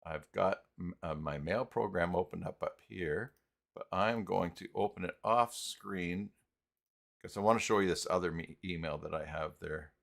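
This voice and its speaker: A man hosting a casual, unscripted live software tutorial, thinking aloud and muttering to himself as he works through each step. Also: sits low, normal speed, vocal fry. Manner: thinks aloud, muttering and humming filler syllables between steps. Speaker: live stream host and web tutorial presenter.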